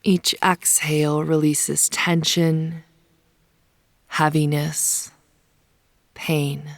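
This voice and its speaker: A woman leading a guided yoga nidra meditation in a soft, soothing, unhurried manner, with phrase ends sometimes hushed. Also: low-pitched, little pitch movement, gravelly, dry recording, studio quality. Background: soft pink noise.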